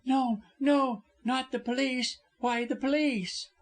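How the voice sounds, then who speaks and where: A man's voice: extremely high pitch, moderate pace, voice pushed up. A man voicing a frightened yet imperious young wife, vehement and impatient, in an audiobook story. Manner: gasping, vehement, haughty.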